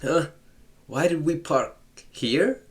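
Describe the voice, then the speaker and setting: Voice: mid-range voice, animated, slow. A let's-play host talking casually over a visual novel, with a mid-range voice. The delivery is loose and conversational, full of wry questions and joking complaints aimed at the game. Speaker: gaming channel host playing a visual novel.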